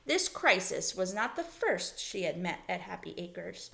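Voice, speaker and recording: A woman's voice: on the low side, big pitch swings, a little slow, creaky, pushed and bright. Woman teacher leading a children's read-aloud. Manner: explains simply, asks listeners questions. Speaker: elementary school teacher.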